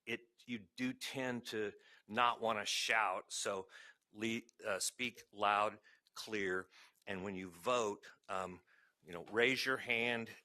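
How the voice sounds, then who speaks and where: A man, a legal counsel, giving measured, cautionary advice at a public board meeting into a table microphone, with many fillers and a voice he calls soft. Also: on the low side, fairly even intonation. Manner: says he has a soft voice. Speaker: legal counsel advising a state education board.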